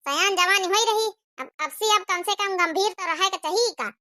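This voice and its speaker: A woman's voice: very high voice, normal speed, sharp tone. A woman voicing a fretful, nagging village mother in a cartoon comedy, with an Awadhi accent and rustic exclamations. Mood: grumbling. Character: scolding, fretful rural Indian mother of a grown daughter.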